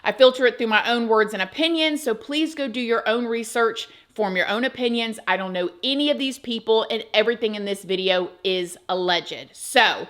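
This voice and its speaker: A woman's voice: a little high, fairly bright tone. A woman, hosting a true crime channel and talking chattily and emphatically to her viewers. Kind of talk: casual chat to camera.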